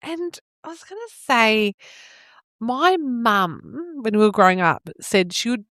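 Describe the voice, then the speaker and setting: A woman's voice: fairly high pitch, big pitch swings, measured pace, fairly bright tone, dry recording. Female podcast co-host, Australian, forties, gushing and chatty, recorded dry with no room sound. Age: 40s. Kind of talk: casual nostalgic chat.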